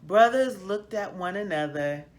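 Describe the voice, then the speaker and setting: A woman reading a children's book aloud as host, in an expressive, emphatic storytelling style. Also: mid-range voice, a little slow.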